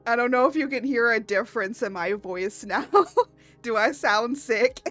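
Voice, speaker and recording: A young woman streaming, her voice hoarse from laughing, breaking into giggles as she chats. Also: on the high side, unhurried, fairly bright tone, faint music behind. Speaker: VTuber artist streamer. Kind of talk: casual live stream chat.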